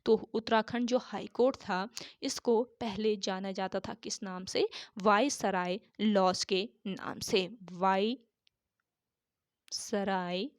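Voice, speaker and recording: A woman tutor with a sore throat teaching an exam-prep lesson, repeating each key answer slowly so students can note it down. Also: mid-range voice, steady tone, dry recording, clean studio sound.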